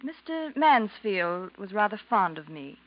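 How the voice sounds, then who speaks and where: A woman's voice: mid-range voice, sing-song, unhurried. An actress playing a scheming, spurned young woman in a 1940s radio drama, controlled and pointed in her delivery. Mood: cool, defiant. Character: scheming, cool young femme fatale, spurned in love. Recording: muffled 1940s radio recording.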